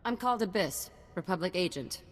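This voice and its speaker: An actress playing a brisk, wry secret agent in a video game, speaking in clipped phrases. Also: female voice, fairly low pitch, expressive, in a large hall. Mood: wry, relieved. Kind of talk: scripted video game cutscene acting.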